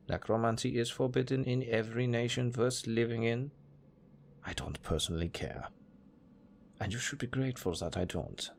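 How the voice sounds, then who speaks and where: A man with a German accent playing a cold, condescending necromancer master in an audio roleplay, speaking in a deadpan, unhurried, gravelly way. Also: medium pitch, slight background noise. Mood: dry, indifferent. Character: cold, dryly matter-of-fact male necromancer master.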